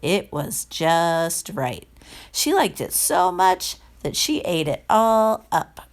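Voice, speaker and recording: A woman reading a children's story aloud in a careful, expressive narrating style. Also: on the low side, takes it slowly. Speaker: storybook reader.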